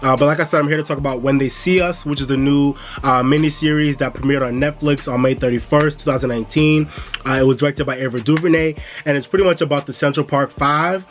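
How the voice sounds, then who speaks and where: A man's voice: fairly high pitch, fairly even intonation, quick pace, soft background music. Stuffy-sounding man chatting with viewers. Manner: laid-back and chatty, addresses viewers as "y'all". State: stuffy, coming down with a cold. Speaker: music and entertainment YouTuber. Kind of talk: casual review vlog.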